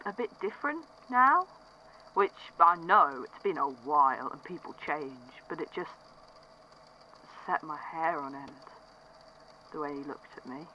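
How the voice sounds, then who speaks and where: A man's voice: a little high, sharp tone, lo-fi sound, slight background noise, full character voice. An actor playing an uneasy young man who rambles about a strange encounter in a fan audio drama. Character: uneasy man, the statement giver again.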